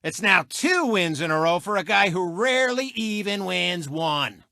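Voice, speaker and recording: An actor voicing a cocky, boastful talking race car who hosts a sports show in a video game, with smug, showy, mocking commentary. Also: male voice, high voice, expressive, unhurried, creaky, sharp tone, dry recording, studio quality, exaggerated voice. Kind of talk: animated sports commentary and taunting.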